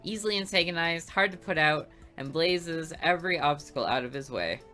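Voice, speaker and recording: A woman narrates a visual novel in first person with a dry, sarcastic edge. Her voice sits low but has a bright, clear tone, and it moves up and down a lot. Faint music plays underneath. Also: lively intonation, a little fast. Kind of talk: reading a visual novel aloud.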